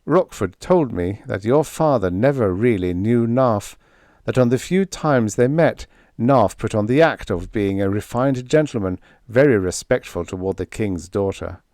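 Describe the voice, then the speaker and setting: A man voicing a wry, stoic young space officer in an audiobook, calm and sober in manner. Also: on the low side, measured pace, no room sound, clean studio sound. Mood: concerned. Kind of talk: audiobook character voice.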